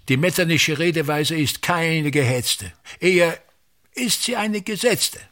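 An actor playing an obsessive, domineering old theatre director in a radio play, with a pompous, declamatory delivery. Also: male voice, mid-range voice, gravelly, a bit bright, breathy edge, full character voice. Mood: pompous, insistent. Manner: declamatory, pompous, ranting in fits and starts.

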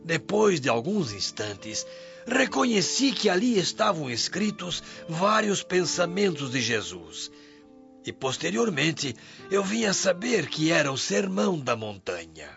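Radio drama old sailor: Brazilian, weary and emotive, fairly high swooping voice, muffled recording over music. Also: male voice, huge pitch swings, unhurried, creaky, fairly bright tone, exaggerated voice. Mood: reverent. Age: older. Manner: solemn, emotive storytelling. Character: weary, humble old Roman sailor recounting his past.

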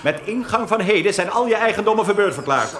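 Menacing interrogator, high voice, commanding, taunting, radio drama, echoey noisy recording. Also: male voice, lively intonation, quick pace, fairly bright tone. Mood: threatening. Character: menacing, gloating interrogator of a condemned prisoner. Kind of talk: Dutch radio drama acting. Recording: echoey room with background noise.

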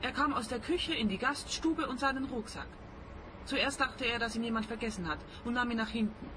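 Actress for wounded young woman, radio crime drama. Earnest, subdued confiding over clearly audible background noise. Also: fairly high pitch, a little fast, close to an everyday voice. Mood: sad, earnest. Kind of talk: radio crime comedy acting.

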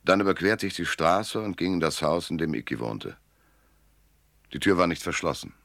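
World-weary noir private eye for radio, super deep n crisp, gravelly, laconic, bone dry sound. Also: male voice, expressive, moderate pace, a bit bright, no room sound, full character voice. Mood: brooding, measured. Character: hard-boiled, world-weary male American private detective, narrating. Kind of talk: German radio drama, first-person noir narration.

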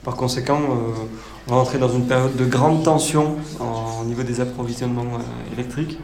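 A man playing a cautious, evasive town mayor, speaking haltingly and officially at a mock press conference for radio. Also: medium pitch, fairly even intonation, darkish tone, natural voice. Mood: cautious, resigned. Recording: roomy echo with faint noise.

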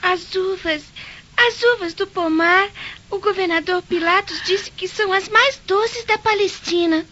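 A woman playing a sweet, feverish little girl in a radio drama, speaking in a dreamy, drawn-out, childlike way. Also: extremely high pitch, bright, forceful tone, heavily acted. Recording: muffled radio drama recording, music behind.

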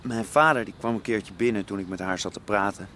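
An actor playing the son of a criminal pub owner in a naturalistic radio drama, talking in a hesitant, reflective way. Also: male voice, lowish voice, brisk, a bit bright, a little hiss or hum, lightly acted. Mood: wistful, confiding.

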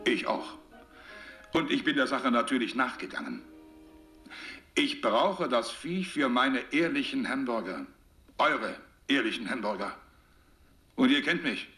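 An actor playing a big, boastful American burger boss making a folksy, pompous sales address in a radio drama. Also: male voice, mid-range voice, expressive, unhurried, pauses often, pushed and bright. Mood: folksy, reassuring. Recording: echoey taped local radio spot with music. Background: music.

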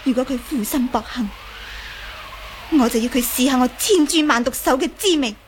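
A woman's voice: high voice, moderate pace, close to an everyday voice. An actress playing a bitter, defiant young martial arts woman in a Cantonese radio drama. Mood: defiant, vengeful. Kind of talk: Cantonese audio drama acting. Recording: faint background noise.

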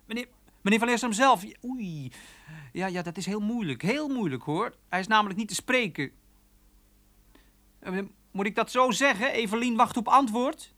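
A man's voice: sits high, animated, normal speed, bright, forceful tone. An actor playing a chatty, cheeky young male switchboard operator in a radio drama, breezy and familiar with a chuckling manner. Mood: chatty, flustered. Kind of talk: naturalistic Dutch radio drama acting.

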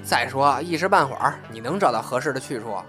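Casting: man playing a young male apprentice comic, grumbly but shy, highly expressive pitch, audio drama with music bed. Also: brisk. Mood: persuading. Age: young adult. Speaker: crosstalk apprentice. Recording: background music under the voice.

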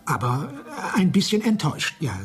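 A man's voice: fairly high pitch, very animated, moderate pace, soft background music. Nervous man with swooping intonation. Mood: disappointed, rueful. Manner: hesitant, stammering, questioning. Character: nervous, bewildered man, mild and self-doubting. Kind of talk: 1980s German radio drama acting.

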